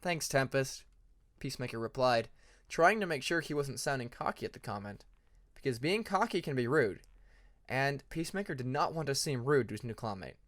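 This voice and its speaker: A man's voice: mid-range voice, expressive, a little fast, fairly bright tone. A man narrating fantasy fiction as an audiobook reader.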